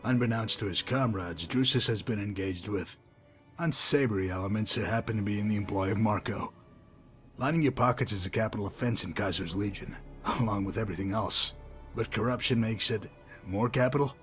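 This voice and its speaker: A man playing a cold, cynical former pit fighter and gang enforcer in a video game, speaking in a calm, wry, articulate and menacing way. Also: on the low side, a little fast, soft background music, close to an everyday voice. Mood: sly, wry. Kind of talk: video game character dialogue.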